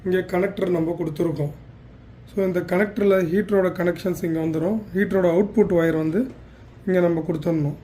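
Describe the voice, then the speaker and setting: Male demonstrator, notably high pitch, plain instructional delivery, faint background noise. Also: fairly even intonation, brisk, dark tone. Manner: plain step-by-step explaining with some English technical words. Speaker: product demonstrator for a heater supplier.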